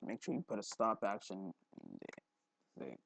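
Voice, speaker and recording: A man hosting a casual, unscripted screen tutorial, haltingly repeating words and muttering to himself, recorded on a headphone mic at his neck. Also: lowish voice, huge pitch swings, creaky, a bit bright, a little hiss or hum. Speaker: tutorial host. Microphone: headphone mic held at the neck.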